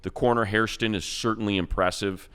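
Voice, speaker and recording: A man's voice: lowish voice, fairly even intonation, a little fast, a bit bright, smooth. A man co-hosting a football show, talking draft picks in a rambling, emphatic way. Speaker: radio play-by-play announcer and co-host. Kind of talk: animated football talk.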